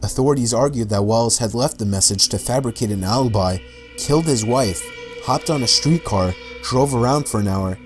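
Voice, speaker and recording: Male narrator, scripted mystery documentary read, mid-pitched voice, music underneath. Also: a little fast. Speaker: video narrator.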